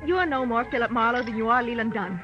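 A woman's voice: a little high, quick pace, lightly acted. An actress playing a cool, self-assured young woman with a poised, cutting manner in a 1940s radio drama. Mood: scornful. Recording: muffled old radio recording, faint music.

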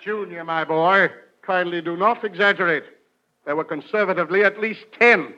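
High-voiced pompous uncle. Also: male voice, normal speed, pushed and bright. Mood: wounded, boastful. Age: middle-aged. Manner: pompous, blustering, florid. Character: pompous, touchy, boastful middle-aged uncle. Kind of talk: 1940s radio comedy acting. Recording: dull vintage 1940s radio recording.

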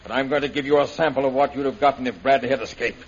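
Menacing old-time radio bad guy, husky, bossy and gloating, muffled lo-fi sound. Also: medium pitch, fairly even intonation, very fast. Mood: angry. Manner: sneering, domineering, theatrical. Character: arrogant, menacing male revolutionist villain, cruel and gloating. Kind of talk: 1930s radio serial acting.

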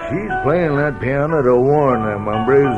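A man performs a cunning Western villain, drawling and smug with menace, in a mid-range voice. It sounds like a vintage radio serial, dulled, with music clearly behind it. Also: medium pitch, measured pace, lightly acted. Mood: smug, scheming. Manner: drawling, folksy Western speech. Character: cunning, menacing hook-armed Western outlaw boss, gloating. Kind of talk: old-time radio Western acting. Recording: muffled old radio broadcast with music.

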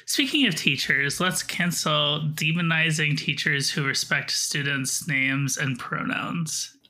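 Bright-voiced woman co-hosting a podcast. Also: low voice, takes it slowly. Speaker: podcast co-host. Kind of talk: casual podcast banter.

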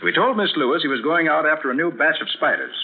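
An actor playing a shrewd, self-assured private detective in a 1940s radio drama. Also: male voice, a little high, expressive, fast talker. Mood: explaining, assured. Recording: tinny, noisy 1940s radio recording.

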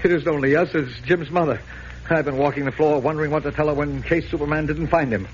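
On a muffled, hissy old radio recording, a man plays an elderly newspaper editor in a 1940s serial. He is slightly high-voiced, flustered and despairing, and stammers and sputters through his words in agitation. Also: fast, close to an everyday voice. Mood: anxious, agitated. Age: older.

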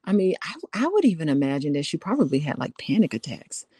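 A woman's voice: low voice, big pitch swings, quick pace, warm tone, slightly rough, no echo, clean studio sound. A woman co-hosting a podcast, talking casually, teasing and often laughing. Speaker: podcast co-host. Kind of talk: casual conversation.

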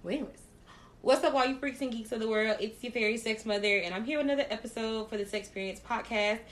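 A woman hosting a casual podcast, talking loudly with crude jokes and laughter. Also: fairly high pitch, quick pace. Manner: loud, laughing, crude joking. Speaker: podcast host. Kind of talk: casual chat.

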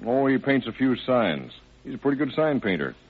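An actor playing a curt, plainspoken sheriff's officer with an air of authority in an old radio crime drama. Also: male voice, medium pitch, big pitch swings, fairly quick, breathy edge, narrow, tinny audio. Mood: pondering, plainspoken. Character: brisk, no-nonsense male sheriff's officer, plainspoken and commanding. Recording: band-limited vintage radio recording.

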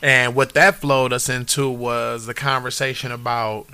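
A man's voice: mid-range voice, flat delivery, normal speed, pushed and bright, smooth, no room sound. A man co-hosting a casual podcast, steering the talk and asking follow-up questions. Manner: leads the conversation, asks follow-up questions. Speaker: podcast co-host. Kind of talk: casual chat.

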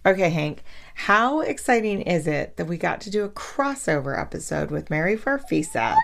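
A woman's voice: on the low side, big pitch swings, moderate pace, vocal fry. A woman hosting and narrating a podcast for children in an encouraging, presenterly manner. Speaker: podcast host and storyteller.

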